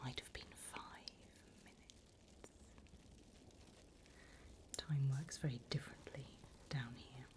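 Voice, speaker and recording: Infernal interviewer demon, female, voiced by a woman: low breathy whisper, measured, polite menace, relishes wickedness, ASMR roleplay. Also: steady tone. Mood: politely sinister. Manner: slow, deliberate, courteous, softly menacing.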